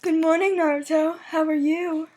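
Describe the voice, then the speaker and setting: A young woman voicing a calm, studious teenage boy in a fan fiction reading, composed and matter-of-fact. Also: extremely high pitch, normal speed, voice pushed up.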